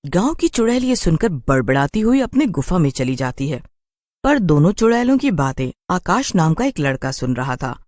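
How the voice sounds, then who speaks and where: A woman's voice: sits low, big pitch swings, normal speed, a little mellow, no room sound, studio quality. A woman narrating a scripted horror story for a cartoon in storybook style.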